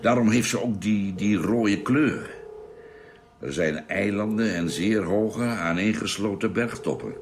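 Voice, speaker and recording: An actor playing a thoughtful spaceship doctor in a radio play, explaining things in a measured way. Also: male voice, on the low side. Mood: thoughtful, observing. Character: thoughtful male doctor aboard a Mars spaceship. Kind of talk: Dutch radio play acting. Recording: noisy radio drama recording.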